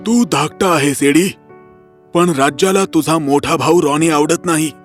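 A man voicing an authoritative, stern royal father in an animated fairy tale, formal and commanding. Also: a little high, a little slow, faint music behind, close to an everyday voice. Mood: grave. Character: authoritative king, stern disapproving father of two princes.

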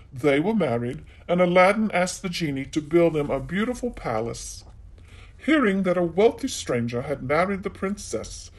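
A man storyteller reading a children's tale aloud in an animated narrating style. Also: fairly high pitch.

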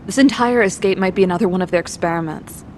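A woman's voice: fairly low pitch, fast talker, darkish tone, a little hiss or hum, natural voice. An actress playing a level-headed, serious young woman on the run in an audio drama. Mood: wary, resolute. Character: level-headed, decisive young female escapee with powers.

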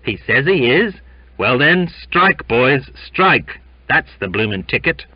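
A man's voice: medium pitch, very animated, takes it slowly, no room sound, highs cut off, voice pushed up. A man voicing a troublemaking sailor in a storybook, piercing and screechy, goading with slangy speech. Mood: rabble-rousing.

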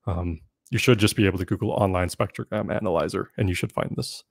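Young man, low voice, easygoing online teacher, dry close-miked sound. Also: fast talker, vocal fry, husky, no room sound. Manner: relaxed, filler-heavy explaining with frequent "um". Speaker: workshop presenter. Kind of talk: casual online workshop teaching. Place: small room at home. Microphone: desk mic on stand, webcam.

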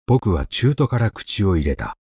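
A man narrating a literary short story in a measured, expressive storytelling manner. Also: on the low side, breathy edge, dry recording. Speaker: audiobook narrator.

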